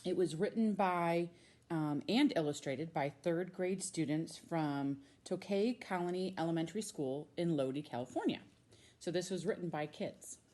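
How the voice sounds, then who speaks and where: A woman with an American accent, a teacher reading a storybook aloud to children with expressive, drawn-out emphasis on key words. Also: on the low side. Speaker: middle school science teacher reading a children's book.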